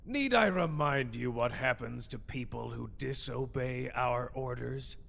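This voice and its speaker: Haughty male villain played by a man, scheming official of a sorcery order, gravelly and sharp, for anime audio drama. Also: medium pitch, measured pace, long reverb tail, a little hiss or hum. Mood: smug, threatening.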